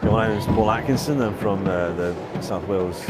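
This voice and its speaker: A father talks calmly and thoughtfully about a competition. His voice sits fairly low. Loud music runs behind him. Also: brisk. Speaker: parent. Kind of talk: event interview.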